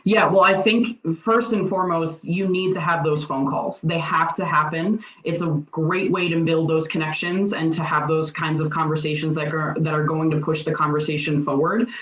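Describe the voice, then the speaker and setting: A woman's voice: low voice, fairly even intonation, normal speed, few pauses. Female former coach as interview guest, earnest, wordy explainer who rephrases often; echoey, slightly muffled audio. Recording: roomy, dull lo-fi audio.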